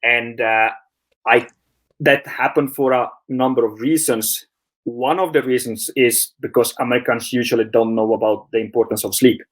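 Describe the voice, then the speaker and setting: A man's voice: mid-range voice, fairly even intonation, normal speed. A man from Finland, a sleep coach, answering interview questions in a hesitant way, with many fillers, restarts and laughs.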